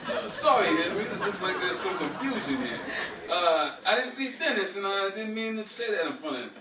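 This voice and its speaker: A man's voice: high-pitched, lively intonation, moderate pace. A man playing a breezy, wisecracking sleuth who spins tall tales in a hammy 1940s radio-comedy style. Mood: sheepish. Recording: roomy, distant recording with noisy crowd.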